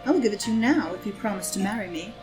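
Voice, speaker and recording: A woman's voice: medium pitch, animated, racing, over music, lightly acted, low-quality recording. An actress playing a worldly, scheming society woman with a languid, arch wit, in an audio drama reading of a stage play. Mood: coolly seductive. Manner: languid, arch, epigrammatic delivery. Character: scheming, worldly, witty society woman, cynical and coolly seductive.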